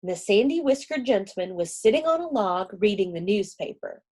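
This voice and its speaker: A woman reading a children's storybook aloud, gentle and expressive. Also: mid-range voice, normal speed.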